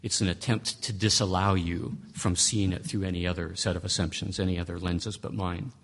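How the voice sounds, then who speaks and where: A man with a fairly low, husky voice teaches a class on interpreting scripture. He talks in a conversational but emphatic way, pressing his points with rhetorical questions and often restarting a phrase mid-thought. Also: steady tone, fairly quick, a bit bright. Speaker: Bible teacher.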